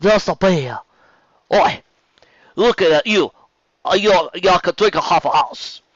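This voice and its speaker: A man voicing a gruff female bartender mare with a put-on Cockney accent, clipped words slurred together. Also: sits high, animated, slow, no echo, muffled recording, pitched above the performer's own voice, full character voice. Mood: brusque.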